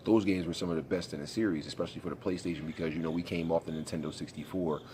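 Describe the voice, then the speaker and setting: Casting: congested young man, very low voice, slangy gaming vlogger, faint background hum. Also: fairly even intonation, very fast. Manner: laid-back, rambling, slangy. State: congested, sniffly. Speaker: gaming YouTuber. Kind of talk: casual vlog commentary.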